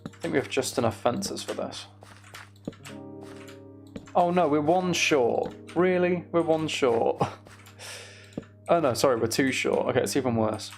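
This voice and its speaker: A man streaming a game, chatting casually and thinking aloud in an unhurried way as he plays. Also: on the high side, creaky, soft background music. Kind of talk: casual gaming livestream chat.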